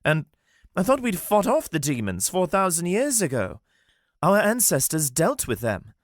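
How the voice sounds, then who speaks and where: A man voicing a cheerful, excitable young male elf with a lifted, eager voice. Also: sits high, unhurried, no room sound, clean studio sound, pitched above the performer's own voice, full character voice. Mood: upbeat.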